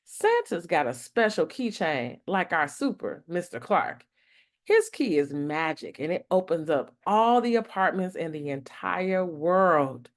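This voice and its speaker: A woman voicing a gentle mother in a picture book, patiently explaining and soothing. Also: lowish voice, expressive, a little slow. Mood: reassuring. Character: gentle, reassuring mother explaining magic to her daughter.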